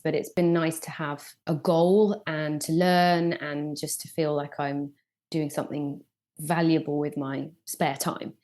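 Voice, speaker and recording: A woman in her forties, a journalist, giving thoughtful, detailed answers in a relaxed interview, with frequent "kind of" fillers. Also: low voice. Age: 40s. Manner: reflective, thorough, many "kind of" fillers. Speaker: guest journalist.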